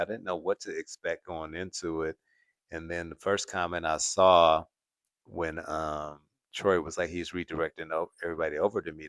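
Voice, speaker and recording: A man's voice: deep voice, a bit bright, no echo, clean studio sound. A man hosting a casual livestream, chatting to viewers and laughing often. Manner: laughs often, repeats "at the end of the day". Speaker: host.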